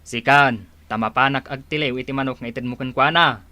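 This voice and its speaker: A man as a bright, forceful villager. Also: mid-range voice, fairly even intonation, brisk, dry recording, natural voice. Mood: matter-of-fact. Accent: Ilocano. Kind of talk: radio drama acting.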